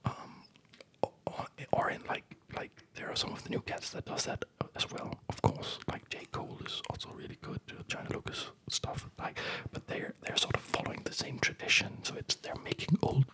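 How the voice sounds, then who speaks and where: Male ASMR game host, crisp bright whisper with some crackle, halting and rambling delivery. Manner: rambling, halting, self-interrupting. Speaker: ASMR gaming video host. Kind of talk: whispered ASMR rambling commentary over gameplay.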